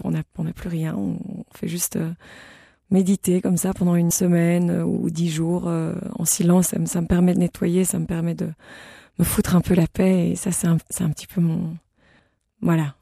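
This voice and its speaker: A guest speaking into a dry, close microphone with a gravelly, dark-toned voice. The speech is halting and informal, with words repeated as the thoughts form. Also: mid-range voice, no room sound. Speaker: radio guest. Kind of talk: casual interview talk.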